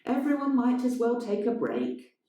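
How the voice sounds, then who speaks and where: A woman's voice: on the high side, very animated, normal speed, warm tone, far from the mic, voice pushed up. A woman voicing a big, strict, bossy female theatre director in a dramatised story reading. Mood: commanding. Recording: very echoey room.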